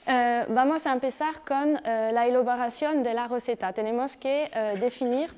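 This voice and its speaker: A woman from France with a French accent, giving a conference talk in a measured, explanatory way, often hesitating and checking in with her audience. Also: on the high side, a little mellow, clean tone. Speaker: speaker.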